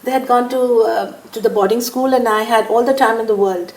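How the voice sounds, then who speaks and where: A woman's voice: on the high side, fairly even intonation, a little fast, darkish tone, reverberant, poor audio. An older woman from India talking at an easy pace in an interview, laughing often and using lots of fillers. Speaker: interviewee, businesswoman. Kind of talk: relaxed conversational interview.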